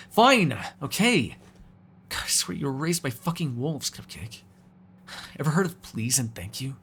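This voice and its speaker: A man's voice: medium pitch, fairly bright tone, a little hiss or hum. A man voicing a hungry, cranky, flirtatious male vampire in an ASMR audio roleplay, sarcastic and given to swearing. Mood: irritated, defensive. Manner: sarcastic, swearing, moody, shifting from murmurs to exasperated outbursts.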